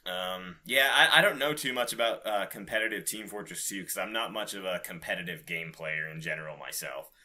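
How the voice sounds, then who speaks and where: A man with a fairly low but very bright, forward voice co-hosts a casual gaming podcast. His talk is loose and slangy, with some mild swearing. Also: a little fast, hardly any pauses. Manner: informal, slangy, mildly profane. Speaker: podcast co-host. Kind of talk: casual chat about video games.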